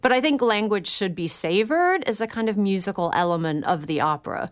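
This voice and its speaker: A woman's voice: mid-range voice, expressive, fairly quick, smooth. A woman guest on a radio call-in show, speaking thoughtfully and enthusiastically about opera, with frequent fillers.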